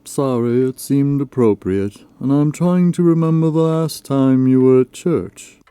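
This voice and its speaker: A man playing a mild, wry, sermonizing father in an amateur radio drama, speaking in a measured, dryly moralizing way. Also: medium pitch, warm tone, smooth, no echo, lightly acted. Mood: wry, teasing. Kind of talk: amateur old-time radio drama acting.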